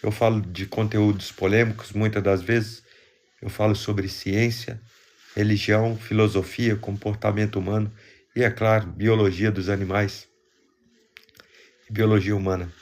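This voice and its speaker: A man with a fairly low voice and a Brazilian accent, congested from a cold. He hosts a live stream in a casual, chatty way, speaking directly to his viewers. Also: little pitch movement, normal speed, darkish tone. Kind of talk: casual livestream chat, greeting viewers.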